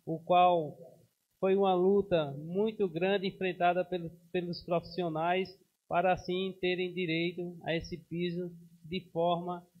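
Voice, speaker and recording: High-voiced, flat-toned councilman at a podium. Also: muffled recording. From Brazil. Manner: formal, steady, with frequent hesitations. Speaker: city councilman. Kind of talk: formal speech at a council session. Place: council chamber. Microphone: podium microphone.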